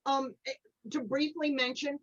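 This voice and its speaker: Female panelist, higher register, halting thoughtful chat, muffled lo-fi recording. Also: steady tone. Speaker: podcast panelist. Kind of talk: casual chat. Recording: dull, lo-fi call audio.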